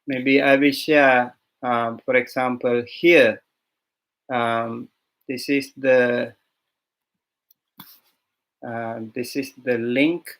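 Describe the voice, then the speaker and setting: A man from Sri Lanka with a Sri Lankan accent, a monk teaching an online beginners' language lesson, unhurried with many fillers and restarts. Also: mid-range voice, level tone, fairly bright tone.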